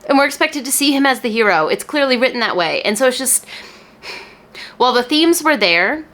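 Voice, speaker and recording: A woman talking casually to camera as a book reviewer, in an animated, emphatic, chatty way. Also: high-pitched, brisk. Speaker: book reviewer and video host.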